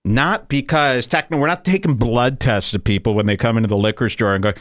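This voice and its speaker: A man's voice: mid-range voice, quick pace, no echo, studio quality. A man hosting a podcast, ranting in a loose, provocative comedic way and laughing at his own jokes. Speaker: podcast host. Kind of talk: casual comedic rant.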